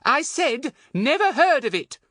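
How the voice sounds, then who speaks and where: A man's voice: extremely high pitch, sing-song, unhurried, pushed and bright, no room sound, studio quality, pitched above the performer's own voice, heavily acted. A man voicing a suspicious, brusque thin little woman out shopping in a storybook. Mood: suspicious, curt. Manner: brusque, dismissive.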